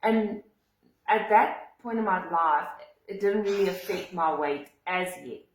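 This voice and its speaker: A woman's voice: lowish voice, unhurried, slight background noise. Young South African woman, Afrikaans first language, earnest personal vlog, echoey phone recording. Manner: earnest, emotional, with frequent fillers and restarts. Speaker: vlogger. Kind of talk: personal storytelling to camera.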